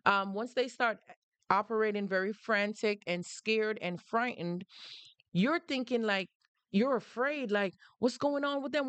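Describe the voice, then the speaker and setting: A woman's voice: a little high, fairly bright tone, no echo. A woman with a Jamaican accent hosting a casual livestream and speaking directly to her viewers. She is emphatic, repeats her points, and breaks off to greet people who join. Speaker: livestream host.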